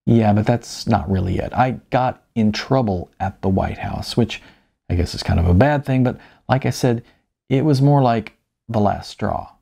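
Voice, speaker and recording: A man reading the audiobook dialogue of an evasive, awkward aging male journalist, halting and hedging. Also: lowish voice, gravelly, mellow, husky. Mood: reluctant.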